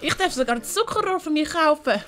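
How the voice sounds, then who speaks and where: A woman acts out a kind little boy in an audiobook tale. The voice is high and lively, gentle and curious, with faint music underneath. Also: brisk. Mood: curious, caring. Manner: wondering, gentle.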